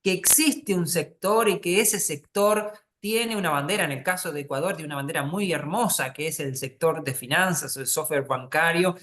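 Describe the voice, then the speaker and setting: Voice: medium pitch, moderate pace, hardly any pauses, fairly bright tone. A moderator with a Uruguayan Spanish accent, hosting a webinar panel in a loose, conversational way with many fillers and restarts.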